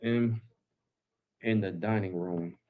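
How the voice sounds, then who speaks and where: A man's voice: fairly low pitch, fairly even intonation, slow, narrow, tinny audio. A man in his forties hosting a live stream, chatting casually and rambling, saying "bro" and "guys" often. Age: 40s. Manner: switches to Spanish with family.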